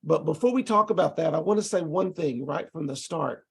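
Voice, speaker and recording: A middle-aged man with a Texas accent, giving a relaxed, conversational lecture over a video call with frequent ums and restarts. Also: on the high side, a little fast, vocal fry, breathy edge. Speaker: ESL instructor presenting to tutors.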